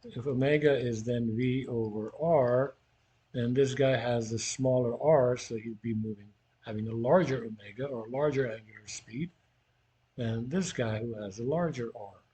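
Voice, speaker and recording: A man's voice: medium pitch, normal speed, warm tone, slight background noise. A man teaching in an informal, conversational way, talking through a worked physics problem as if thinking aloud.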